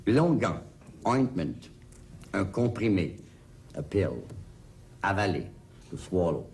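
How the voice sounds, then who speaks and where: Man, deep voice, unhurried, lively intonation, deliberate enunciation, scripted bilingual teaching. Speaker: language lesson presenter. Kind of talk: scripted bilingual vocabulary lesson.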